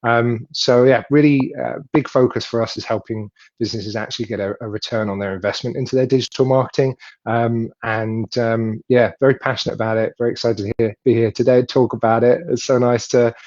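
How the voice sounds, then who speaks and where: A man's voice: medium pitch, fairly even intonation, brisk, a little mellow, no echo. A friendly man in his thirties answers interview questions over a webcam, rambling and restarting as he goes. His voice comes through dull and dry on the call audio. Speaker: web design agency founder, guest. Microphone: webcam on video call.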